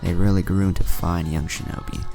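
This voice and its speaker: A man with a deep, gravelly voice reading a scripted story as a narrator, audiobook style. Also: flat delivery, a little fast, music in the background. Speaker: narrator reading fan fiction.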